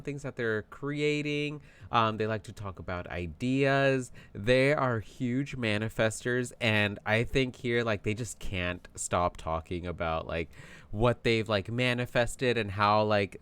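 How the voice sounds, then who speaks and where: A man hosting a casual tarot card reading, talking in an animated, chatty way with plenty of fillers, his voice tired from yelling. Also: medium pitch, smooth. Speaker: tarot reader.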